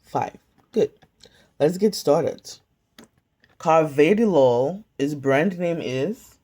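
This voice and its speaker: A woman tutoring pharmacy students, calmly drilling drug names in a slow question-and-pause quiz, recorded on a phone at home. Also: low voice, breathy edge. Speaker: pharmacy tech study tutor. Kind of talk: slow flashcard-style quiz review. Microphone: phone camera filming whiteboard.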